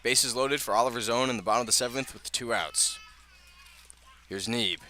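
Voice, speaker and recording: A man gives live radio baseball play-by-play. His voice is bright and projecting, at a middling pitch, with a light bed of outdoor noise behind him. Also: normal speed. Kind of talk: live radio sports commentary. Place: outdoor ballpark broadcast position.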